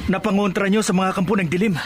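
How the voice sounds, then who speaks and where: An actor as a high-voiced anxious husband. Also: male voice, steady tone, quick pace, noisy background, lightly acted. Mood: alarmed. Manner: urgent, pleading. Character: anxious, devoted husband, helpful villager. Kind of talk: horror radio drama acting. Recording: loud music under the voice.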